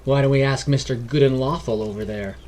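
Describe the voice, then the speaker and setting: A man's voice: medium pitch, fairly quick, darkish tone, a little hiss or hum, natural voice. A man voicing a blunt, sarcastic female dwarf in a fantasy story, with an exasperated delivery. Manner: sardonic, exasperated.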